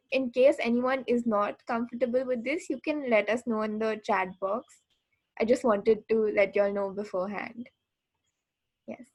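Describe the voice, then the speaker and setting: Young woman, higher voice, workshop host, informal facilitation, dry video-call mic. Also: darkish tone, dry recording, rough recording. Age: 20s. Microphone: online video call mic.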